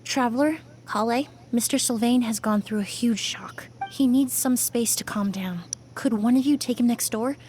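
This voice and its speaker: A woman voicing a calm, composed young female investigator in a video game, soft-spoken and measured. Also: fairly high pitch, slight background noise, close to an everyday voice.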